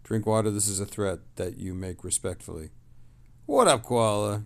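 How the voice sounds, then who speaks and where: Low, gravelly man chatting on stream. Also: moderate pace. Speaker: livestream host reading chat messages. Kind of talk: casual livestream chat, reading messages aloud.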